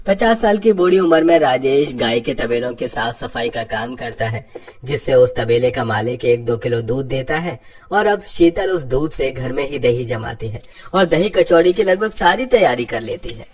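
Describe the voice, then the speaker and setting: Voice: mid-range voice, animated, normal speed, a little mellow. A narrator reading a scripted story in a storybook style.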